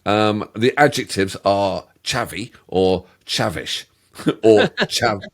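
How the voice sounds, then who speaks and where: A man's voice: lowish voice, slow pace. A middle-aged man, co-hosting a reaction video, joking and laughing often in casual banter.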